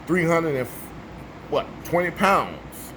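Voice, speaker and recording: Emphatic baritone man, noisy room. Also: big pitch swings, slow pace. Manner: emphatic, preachy, repetitive. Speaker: vlogger. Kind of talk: opinion monologue to camera.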